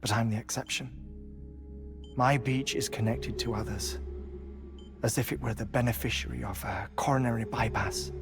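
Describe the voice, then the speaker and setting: An actor playing an eccentric, grieving middle-aged scientist in a video game, speaking in a deliberate, theatrical, eloquent way with thoughtful pauses. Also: male voice, medium pitch, steady tone, natural voice. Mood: resolute, wistful. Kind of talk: dramatic game cutscene acting. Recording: game soundtrack music behind voice. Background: music.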